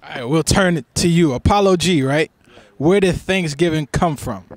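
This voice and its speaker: A man hosts a casual chat with two guests and playfully prods them for answers. His voice sits a little higher than most men's. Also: normal speed, no echo. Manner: playful, laughs and teases guests. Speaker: host. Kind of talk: casual interview hosting.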